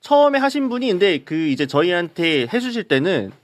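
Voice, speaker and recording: Fast, earnest male reporter explaining. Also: sits high, big pitch swings, no room sound, studio quality. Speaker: reporter guest. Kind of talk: explaining in a talk show interview.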